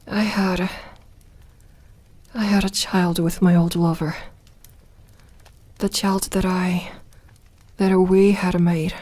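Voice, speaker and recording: A woman acts out a lonely female vampire in an ASMR roleplay, solemn and confiding. Her voice is mellow and rather low, with very little rise and fall. She takes her time, pausing hesitantly as she goes. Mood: wistful, confiding. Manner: hesitant, measured, with reflective pauses. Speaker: voice actor in an audio roleplay. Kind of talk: soft ASMR roleplay monologue.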